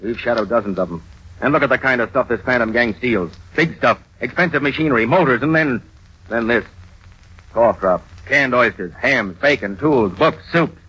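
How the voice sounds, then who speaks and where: A man's voice: mid-range voice, normal speed, sharp tone, breathy edge. A man playing a frustrated, hard-boiled police captain with punchy delivery in an old radio drama. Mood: frustrated, earnest. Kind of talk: 1930s radio drama acting. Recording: dull old radio recording.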